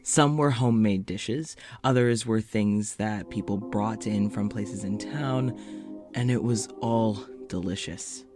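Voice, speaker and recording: An actor playing an anxious, self-doubting male doctor in an audio drama, rambling haltingly and self-deprecatingly through a confessional monologue. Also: on the low side, a little mellow, soft background music. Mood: thoughtful, flustered.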